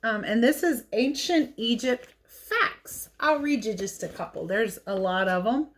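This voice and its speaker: A woman's voice: on the high side, expressive, a little slow. Lady teacher doing a kids read-aloud, chatty, stops to explain stuff as she goes. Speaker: teacher reading picture books aloud. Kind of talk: read-aloud for children with casual asides.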